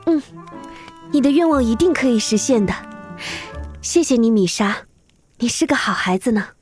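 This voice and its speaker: Woman playing a high-voiced, dignified beast-folk chieftain. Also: expressive, moderate pace, a little mellow, faint music behind, natural voice. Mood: gentle. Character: dignified female beast-folk tribe chief, world-weary, protective. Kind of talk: animated fantasy drama acting.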